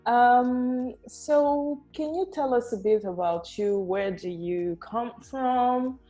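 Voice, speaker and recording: A woman hosts a podcast and asks her guest questions at an unhurried pace. Her voice is mellow and her manner relaxed and friendly. Soft music plays faintly behind her. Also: on the high side. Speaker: podcast host. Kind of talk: interview questions.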